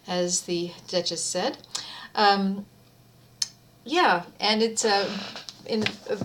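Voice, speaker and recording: A woman's voice: on the low side, fairly bright tone, a little hiss or hum. Middle-aged woman, informal and conversational. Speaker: video maker reading a book aloud. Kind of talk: casual chat and amateur read-aloud of a book.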